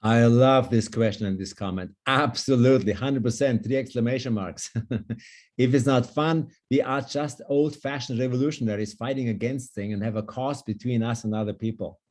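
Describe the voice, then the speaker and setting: Sex: man. Native language: German. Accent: German. Role: longevity coach on a video call. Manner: emphatic, upbeat. Timbre: warm, dark. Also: medium pitch, moderate pace. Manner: enthusiastic, emphatic. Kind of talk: online panel discussion.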